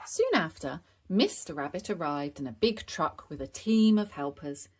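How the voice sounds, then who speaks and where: A woman reading picture-book stories aloud for young children in an expressive narrating style. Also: low-pitched, normal speed, a little hiss or hum. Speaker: storybook reader.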